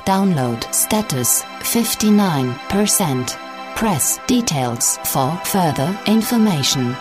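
A woman's voice: lowish voice, animated. A woman voicing a neutral computer that reads out impersonal download prompts in a radio play. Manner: slow, impersonal system prompts. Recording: music over the voice.

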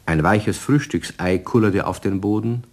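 A man's voice: on the low side, a little slow. A man narrating a German children's radio play in a scripted storytelling style.

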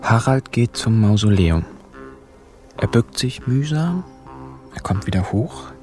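An actor playing a sly, laconic narrator in a radio crime play, telling the story with wry, clipped phrasing. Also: male voice, mid-range voice, animated, slow, dark tone, faint music behind. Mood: dry. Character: wry, sly male narrator telling his own swindle.

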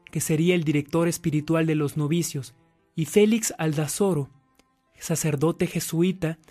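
A man's voice: on the high side, mellow. A man with a Mexican accent narrating a radio drama from a script.

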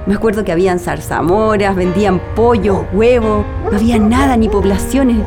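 An actress with a Chilean accent playing a reflective woman who recounts her family's hard past in a measured, deliberate way, as in a radio play. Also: mid-range voice, lightly acted. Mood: nostalgic. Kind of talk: radio play acting, scripted reminiscence. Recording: music under the voice.

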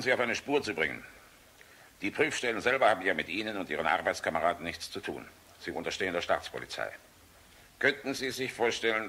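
Deep-voiced, weary German general. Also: male voice, sharp tone, husky, exaggerated voice. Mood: persuasive, resigned. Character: weary, plain-spoken German air force general, courteous but blunt. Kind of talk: radio play acting.

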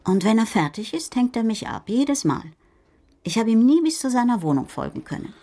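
An actress playing a self-possessed, observant middle-aged woman who speaks deliberately with small hesitations, in a German radio crime drama. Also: fairly high pitch, sing-song, dark tone. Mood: reflective. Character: self-possessed, adventurous Parisian landlady, observant night wanderer.

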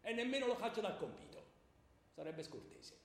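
A man's voice: high-pitched, very animated, moderate pace, vocal fry, full character voice. A man playing an indulgent, easygoing king of the gods in comic radio theatre, colloquial, wheedling and excusing. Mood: exasperated. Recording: echoey hall with faint background noise.

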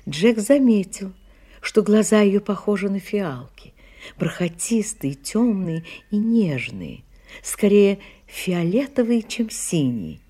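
A wry, lyrical female storyteller in a radio play. Her voice is dark and soft-edged, a little husky, and rises and falls expressively over faint music. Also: mid-range voice, exaggerated voice. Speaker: narrator. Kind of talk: literary radio play narration.

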